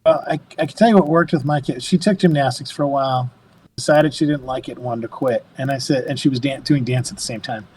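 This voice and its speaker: A man in his fifties tells stories in a relaxed chat, his voice sitting in an ordinary middle register. He swings from quiet asides to lively bursts, laughing often and acting out the voices of others. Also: medium pitch, quick pace, breathy edge, low-quality recording. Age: 50s. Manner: animated storyteller, laughs often, acts out quotes. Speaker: podcast guest. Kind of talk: casual chat.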